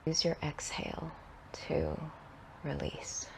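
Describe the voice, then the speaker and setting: A woman guides a meditation in a low-pitched voice thick with creaky fry. She speaks very slowly and soothingly, in short phrases that trail off softly and breathily between long pauses. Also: big pitch swings, whispered, poor audio.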